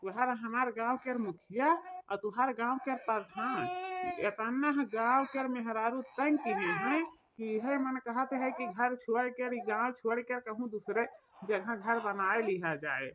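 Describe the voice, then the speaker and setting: A woman's voice: on the high side, a little slow, lightly acted. A woman voicing a fed-up, plaintive villager in a comic cartoon, with an eastern Uttar Pradesh accent. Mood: plaintive, weary. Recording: dull audio with crowd noise.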